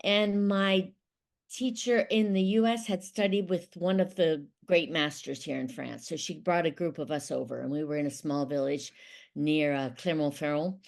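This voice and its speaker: Middle-aged woman, lower voice, methodical explainer, interview guest. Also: fairly even intonation, moderate pace, creaky, darkish tone. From Ohio, United States. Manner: explanatory, methodical, occasional restarts. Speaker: interview guest, business consultant. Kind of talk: conversational interview.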